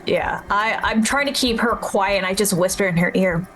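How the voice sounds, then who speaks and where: A woman with a mid-range voice casually describes her character's moves in a roleplaying game. Her phrasing is halting and improvised, and music is clearly audible behind her. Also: mid-range voice, fairly bright tone, rough recording. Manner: halting, piecing phrases together with "like". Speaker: tabletop roleplaying player.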